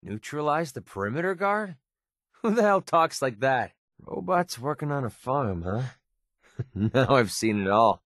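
A man's voice: medium pitch, no echo, lightly acted. Man acting a wry American wasteland mercenary, dry studio sound. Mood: sarcastic, irritated. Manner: wry, grumbling, casual American slang. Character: young male wasteland mercenary companion, cocky, sarcastic, wisecracking. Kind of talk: video game character dialogue.

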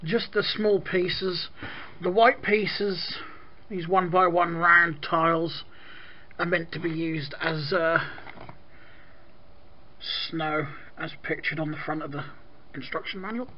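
A man from Britain with a croaky voice, casually reviewing a toy set, rambling with lots of ums and clearing his throat. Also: a little high, steady tone, slow, long pauses, lo-fi sound, slight background noise. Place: indoor room at a desk with toy display.

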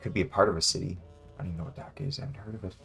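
A man's voice: sits low, steady tone, racing, vocal fry, a bit bright, soft background music. A man in his twenties playing a game and thinking aloud in a hesitant, musing, casual way. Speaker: guest player, beginner. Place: small home room indoors.

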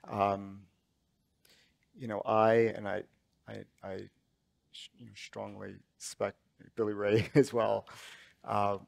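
Slow, gravelly man thinking aloud. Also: on the low side, flat delivery, dry recording. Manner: halting, searching for words, many restarts. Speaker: author on a panel. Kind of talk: reflective panel talk. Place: large auditorium stage.

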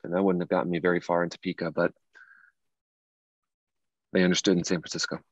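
Man playing a low-voiced, earnest grieving man. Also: level tone, fast talker, dry recording. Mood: earnest, sorrowful. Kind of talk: table read of a dramatic script.

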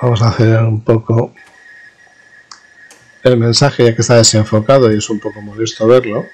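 A man narrating an informal hands-on technical demonstration as an instructor, explaining haltingly and correcting himself as he goes. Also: fairly low pitch, level tone, a little mellow, low-quality recording. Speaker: radio communications instructor.

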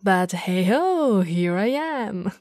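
A woman acting a friend's warm, teasing goth older sister in an intimate, soft-spoken ASMR roleplay, sometimes slipping toward a whisper. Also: medium pitch, lively intonation, slow pace, no room sound, clean studio sound. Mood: regretful. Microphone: close studio microphone.

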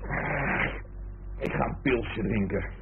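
An actor playing a lazy, flippant young boyfriend in a radio play, teasing and rambling nonchalantly. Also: male voice, mid-range voice, takes it slowly, creaky. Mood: teasing, musing. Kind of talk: Dutch radio play acting. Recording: tinny, hissy old radio recording.

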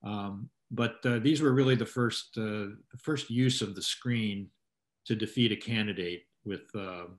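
An older man with a fairly low voice speaks as an interviewed author. His delivery is halting, with phrases broken up as he explains. The sound is roomy and echoey, like a video call from home. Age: 60s or older. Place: small room at home, indoors.

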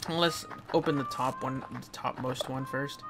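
A man casually commenting on his own gameplay, rambling, chatting to the enemies and breaking into sudden excited outbursts. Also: mid-range voice, unhurried, rough recording. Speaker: let's play gamer. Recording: loud game music behind.

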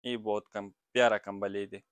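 A young man selling blankets in his small shop, eagerly pitching prices and agreeing with quick repeated yeses. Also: flat delivery, clear, resonant tone, dry recording. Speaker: blanket shopkeeper. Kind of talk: sales pitch to a vlogger.

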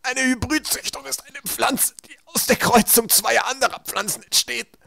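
A sickly young scholar from a storybook, acted out by a man, speaks in a raised, breathy voice. He is gasping and weakened, explaining things in a laboured, halting way. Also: extremely high pitch, bright, forceful tone, no echo, pitched above the performer's own voice, exaggerated voice. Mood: strained, weak. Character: sickly, bookish boy, gasping but studious.

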